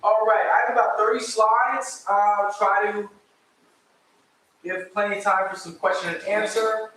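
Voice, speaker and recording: A man giving an informal history talk to a live audience, speaking loudly and conversationally and asking the room questions. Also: sits high, steady tone, unhurried. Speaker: guest speaker and local historian. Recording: very echoey room, distant mic.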